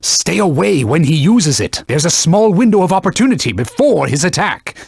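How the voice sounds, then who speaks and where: Video game casting: pompous talking sword, stern knightly mentor, high male voice, highly animated delivery, scolding combat instructions. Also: moderate pace, gravelly, breathy edge, dry recording, studio quality, exaggerated voice. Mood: instructive, stern. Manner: pompous, scolding, archaic formal diction. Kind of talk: video game voice acting, combat tutorial barks.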